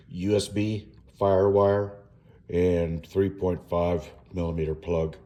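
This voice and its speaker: A man giving a casual, unscripted hands-on walkthrough at home, speaking haltingly with frequent pauses mid-sentence. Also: low-pitched, warm tone. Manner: halting, hesitant, pausing often mid-sentence. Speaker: home DIY reviewer. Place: indoors at home.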